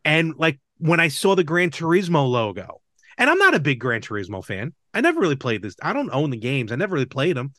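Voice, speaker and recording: A man talking casually as a podcast co-host, explaining things in an animated way and checking in with the listener. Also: a little high, fast talker, no echo, studio quality. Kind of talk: casual chat about movies and games.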